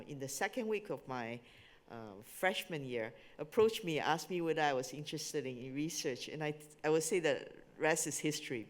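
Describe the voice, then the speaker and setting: A woman's voice: sits low, in a large hall. Composed woman recounting her path. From born in Taiwan, grew up in Singapore. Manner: fluent, composed storytelling. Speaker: symposium speaker, scientist. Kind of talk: panel answers.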